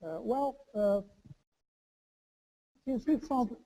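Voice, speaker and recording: A man giving a conference speech as a chamber of commerce director, halting, with many fillers and restarts. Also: extremely high pitch, expressive, noisy background.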